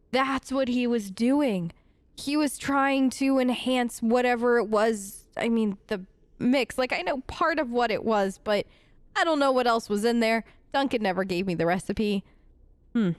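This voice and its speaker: Casual higher-voiced woman role-playing a game. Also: no room sound. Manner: hesitant, conversational, playfully sarcastic. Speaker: let's play host. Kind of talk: casual role-play game commentary, speaking in character.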